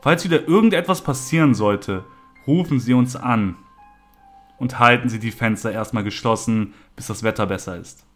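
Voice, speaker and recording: A man's voice: medium pitch, moderate pace, soft background music, lightly acted. A man voicing a matter-of-fact, reassuring police officer in a horror story.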